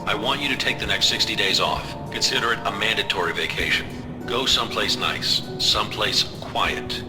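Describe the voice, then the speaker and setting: A man's voice: lowish voice, moderate pace, close to an everyday voice. An actor playing a stern, blunt male intelligence chief in an audio drama, terse and authoritative. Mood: firm. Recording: music behind the voice.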